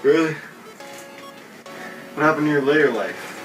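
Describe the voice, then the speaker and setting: A man's voice: a little high, animated, normal speed, fairly bright tone. Man as a casual, offhand skit host, mid voice, roomy distant take with music. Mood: chatty. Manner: casual, offhand questions with small restarts. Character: chirpy male talk show host. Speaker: talk show host in a skit. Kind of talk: amateur talk-show skit.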